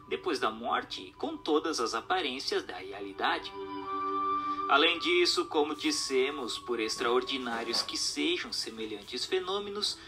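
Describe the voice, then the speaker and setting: A man's voice: fairly high pitch, pushed and bright. A Brazilian man reading a book aloud as scripted narration, in a formal, didactic manner. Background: background music.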